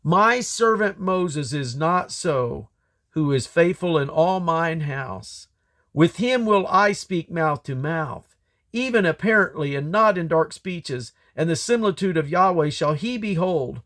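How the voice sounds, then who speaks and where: A man's voice: a little high, expressive, unhurried, fairly bright tone. A man voicing God as a stern, commanding deity in a scripture reading, declamatory and emphatic. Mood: stern, rebuking. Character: God, stern, commanding male deity rebuking his servants.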